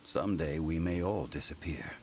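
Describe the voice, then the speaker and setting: Ancient calm god from a video game, really really deep voice, formal and grave, very measured, faint noise behind. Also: male voice. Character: ancient dignified male god in mortal guise, calm, solemn. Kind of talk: scripted video game dialogue.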